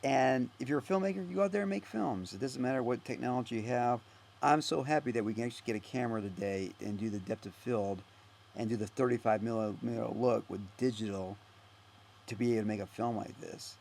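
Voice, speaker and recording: A man giving a casual, unscripted director's commentary as a filmmaker, rambling and halting as he goes, often trailing off. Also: medium pitch, creaky, a little mellow, a little hiss or hum. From United States.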